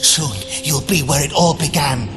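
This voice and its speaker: A woman's voice: low-pitched, moderate pace, music in the background, lightly acted. A woman playing a crazed, raving priest who has lost all reason, in a video game cutscene. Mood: crazed, anguished.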